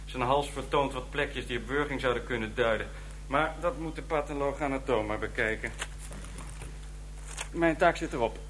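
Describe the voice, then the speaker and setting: A man's voice: medium pitch, normal speed, a bit bright, a little hiss or hum, lightly acted. A man playing an unflappable police doctor in a Dutch radio crime drama, talking in a dry, clinical manner.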